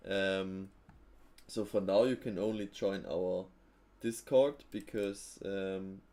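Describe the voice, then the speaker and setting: A man with a fairly low voice hosts a live trading stream in a loose, chatty way. He thinks aloud, drops fillers, and chuckles easily while answering his viewers. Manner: relaxed, thinking aloud, frequent fillers and quick laughs.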